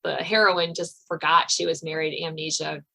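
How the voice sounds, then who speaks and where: An American woman hosting a casual interview about writing, talking in an animated, conversational way. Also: low voice, normal speed, creaky, poor audio. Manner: animated, conversational, quotes others' reactions in dialogue. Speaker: podcast host and suspense novelist. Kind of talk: casual author interview chat.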